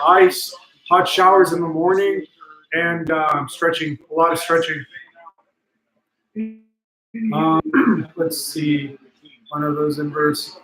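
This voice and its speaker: A fairly high-voiced man chats casually on a live stream, cheering on his viewers with motivational pep and plenty of filler ums. His voice sounds worn from talking a long while. The room around him rings with echo. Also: a bit bright. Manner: encouraging and motivational, with many ums. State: voice getting tired from long talking. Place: indoors at a friend's home. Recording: echoey room.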